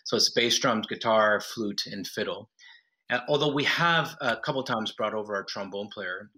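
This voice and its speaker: Man, from Mexico, low voice, bright tone, phone interview, rambling, restarts. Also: normal speed. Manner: relaxed, rambling with restarts and laughs. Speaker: musician guest. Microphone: phone line.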